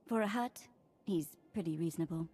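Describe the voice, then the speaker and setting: A woman playing a composed, practical mission briefer in a science-fiction game, businesslike and measured. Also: on the low side, a little mellow, slight background noise, close to an everyday voice. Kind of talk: game cutscene acting.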